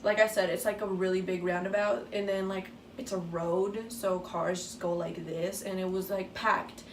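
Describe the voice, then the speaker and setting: A woman's voice: mid-range voice, flat delivery, moderate pace. A teenage girl casually telling a story, swearing freely and acting out what people said. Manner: casual, swears freely, acts out quoted dialogue. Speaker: vlogger. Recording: echoey room, distant mic, faint noise.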